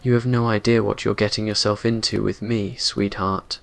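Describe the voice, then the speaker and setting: A man voicing a brooding, tormented vampire in a romance audio story, muttering intensely with a rough, ragged edge. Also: fairly low pitch, flat delivery, moderate pace. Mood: frustrated muttering. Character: brooding, tormented centuries-old male vampire lover.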